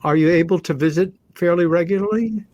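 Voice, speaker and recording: A man hosting a talk show, speaking in a measured, deliberate, conversational way. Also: high voice, darkish tone, dull audio. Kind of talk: conversational show introduction and interviewing.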